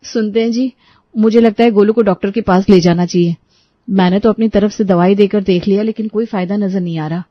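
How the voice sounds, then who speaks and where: A woman's voice: medium pitch, fairly even intonation, a little fast, highs cut off, lightly acted. Woman acting a polite young Indian daughter-in-law. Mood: concerned. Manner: polite, coaxing, reassuring. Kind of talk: animated moral storybook acting.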